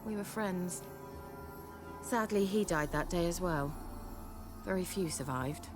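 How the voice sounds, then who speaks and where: An actress playing a vain, sharp-tongued sorceress in a video game, speaking in a haughty, wry, coaxing manner. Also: female voice, fairly low pitch, measured pace, lightly acted. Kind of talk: video game cutscene acting. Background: game soundtrack music.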